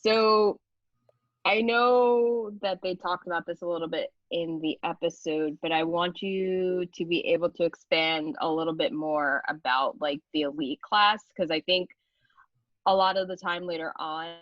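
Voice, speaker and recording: A woman's voice: lowish voice, unhurried, no room sound, highs cut off. A woman interviewing someone in a casual, chatty style.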